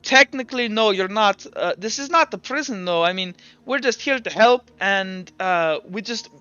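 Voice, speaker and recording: Evasive Inquisition doctor for tabletop fantasy, male player, bright, halting, soothing on the surface. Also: high voice, expressive, smooth, dry recording, dull audio. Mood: reassuring, evasive. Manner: hesitant, halting, reassuring.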